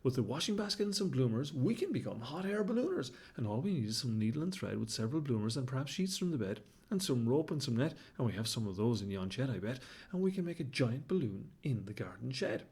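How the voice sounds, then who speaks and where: A man's voice: medium pitch, very animated, fast, hardly any pauses, a little mellow, husky. A man voicing a sensible male cat who answers in dry, exasperated rhymes. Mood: eager. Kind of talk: storybook character voice.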